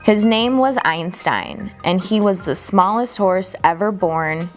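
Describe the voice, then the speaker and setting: A woman reading a children's picture book aloud as a storyteller, expressively and deliberately, drawing out key words for emphasis. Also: on the low side, animated, soft background music.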